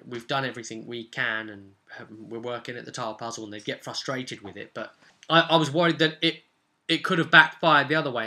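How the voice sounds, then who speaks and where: A man's voice: medium pitch, pushed and bright. A man talking casually and reflectively as a game master, rambling and questioning himself with tag questions as he goes. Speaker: game master and vlog host. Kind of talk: casual reflective monologue about tabletop role-playing.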